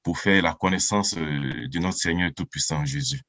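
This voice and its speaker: A man in his forties from Côte d'Ivoire giving an earnest, halting personal testimony as a baptism candidate. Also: extremely low pitch, a bit bright, no room sound. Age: 40s.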